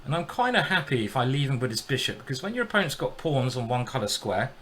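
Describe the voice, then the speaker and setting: Bright-voiced chatty male chess streamer. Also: medium pitch, very animated, fast talker, slight background noise. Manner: chatty, jokey, greets viewers while thinking aloud. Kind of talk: casual live-stream game commentary.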